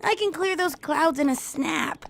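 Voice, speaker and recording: A woman voicing a confident, protective young female pegasus pony in a cartoon fan fiction reading, with a raspy voice and reassuring manner. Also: sits high, measured pace, slight background noise, voice pushed up. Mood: insistent. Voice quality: raspy, creaky. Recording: music under the voice.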